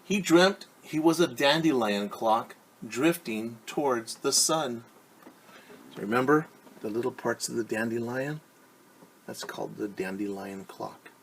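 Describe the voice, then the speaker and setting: A man teaching young children through a story, reading aloud and explaining gently and patiently with questions. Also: mid-range voice, measured pace, slight background noise, rough recording.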